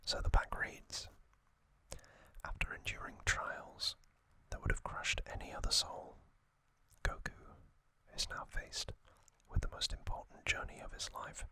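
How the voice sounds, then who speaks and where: A man hosting an ASMR video, speaking trivia and commentary in a gentle, breathy whisper with short, broken, unhurried phrases. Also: dry recording, poor audio.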